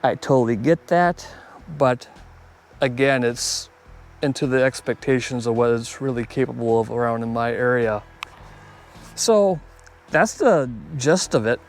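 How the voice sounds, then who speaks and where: A man's voice: medium pitch, a little slow, pauses often, slight background noise. A man talking casually and off the cuff as a hobbyist video host reviewing gear, plainspoken and conversational. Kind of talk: casual unscripted product review.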